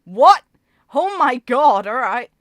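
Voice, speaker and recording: Expressive woman commentator, dry close sound. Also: high voice, takes it slowly, no echo. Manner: expressive fan talk, informal interjections. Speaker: gaming video creator. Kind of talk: casual reaction commentary, with dramatic reading aloud.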